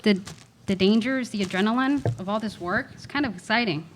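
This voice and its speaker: A woman's voice: mid-range voice, brisk, natural voice. Woman playing a wry treasure hunter, noisy recording. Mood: curious. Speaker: student radio play actor. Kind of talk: amateur radio theater acting.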